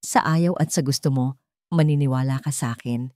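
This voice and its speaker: A woman voicing a frantic, scheming wife in an audio drama, shrill, urgent and pleading. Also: normal speed, mellow, dry recording, clean studio sound. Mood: angry, tearful.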